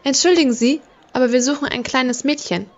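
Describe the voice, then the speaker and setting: A worried, polite mother acted by a woman in an audio drama. Her voice sits fairly high and the sound is a little dull. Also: brisk, faint music behind, lightly acted. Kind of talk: German audio drama acting.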